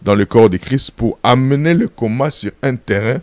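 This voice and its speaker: A pastor from Congo, answering interview questions in an emphatic preaching style, full of repeated phrases and rhetorical questions. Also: male voice, medium pitch, animated, normal speed, dry recording. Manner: firm and insistent.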